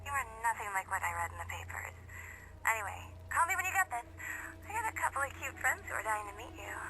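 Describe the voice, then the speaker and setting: An actress playing a familiar acquaintance in a video game, leaving an informal, chatty voicemail. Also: female voice, a little high, sing-song, fast talker, a little hiss or hum, exaggerated voice, rough recording. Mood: bubbly, flirty. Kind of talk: video game acting, phone message. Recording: phone message, loud game music behind.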